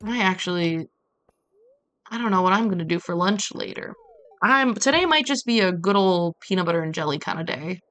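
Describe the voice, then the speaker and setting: A woman from Brazil chatting casually as she streams a game, telling stories in an animated way with playful asides. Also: fairly low pitch, moderate pace. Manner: animated storytelling with acted-out quotes and playful asides. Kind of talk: casual chat while playing a game on a livestream.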